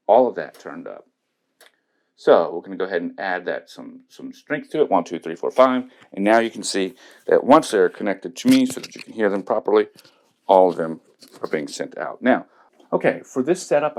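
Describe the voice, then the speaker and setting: A man's voice: on the low side, darkish tone. A man giving a methodical, step-by-step hands-on demonstration of audio equipment. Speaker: tech reviewer demonstrating gear.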